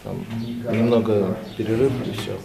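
A man in his thirties giving a casual interview, explaining his work in a halting, informal way. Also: lowish voice, steady tone, vocal fry, a crowd in the background. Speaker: animation artist, interviewee. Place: small office studio, indoors. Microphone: handheld TV reporter microphone. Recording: echoey room with people chattering.

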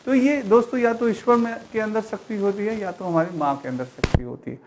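A man's voice: high-pitched, fairly quick, darkish tone, slight background noise, low-quality recording. Man teaching with repeated rhetorical questions. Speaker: teacher giving an exam-prep class. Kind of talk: explanatory classroom lecture to camera. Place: indoor studio with digital teaching board.

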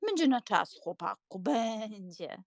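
Elderly noble aunt, coaxing, affectionate, unhurried, very melodic, audiobook drama. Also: female voice, medium pitch, big pitch swings, creaky, no room sound, clean studio sound, exaggerated voice. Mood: prodding, indignant. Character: doting, scheming elderly aristocratic aunt.

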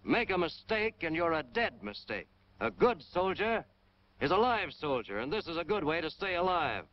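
A man's voice: on the high side, very animated, moderate pace. An American man narrating a 1940s military training film in a hard-boiled, punchy, emphatic way. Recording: dull, noisy 1940s film soundtrack.

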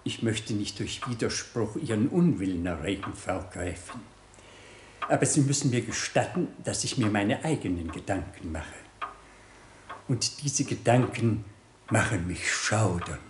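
An actor playing a stiffly formal, superstitious butler to a countess in a 1960s German radio play. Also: male voice, on the low side, animated, a little slow, roomy sound, slight background noise. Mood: ominous, deferential. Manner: ceremonious, stiffly polite.

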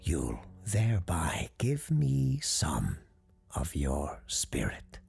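A woman voicing an ancient, solemn talking Christmas tree spirit in a slow, hushed, whispery manner. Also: rumbling low, huge pitch swings, creaky, breathy edge, exaggerated voice. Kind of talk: audio drama storytelling.

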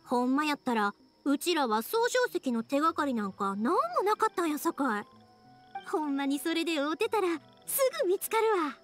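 A woman playing a cheerful, warm-hearted young woman adventurer in an anime game, with a Kansai accent and a bubbly, reassuring manner. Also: high-pitched, lively intonation, a little fast. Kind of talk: Japanese anime game voice acting. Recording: game music behind the voice.

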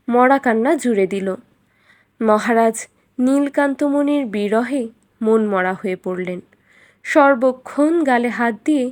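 A woman storyteller reading a scripted fairytale in an expressive, dramatic way. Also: a little high.

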